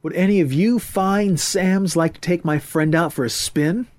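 A man's voice: sits high, normal speed, darkish tone, dry recording, voice pushed up, lightly acted. A man voicing a cocky young swing-club hepcat, brash and teasing, full of old jazz-age slang, in an audiobook. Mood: upbeat.

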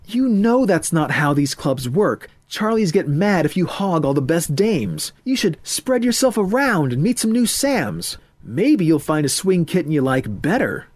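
Man acting a cocky, high-pitched young hepcat. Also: very animated, measured pace, few pauses, darkish tone, dry recording. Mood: teasing advice. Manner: brash, teasing, swaggering 1930s swing slang.

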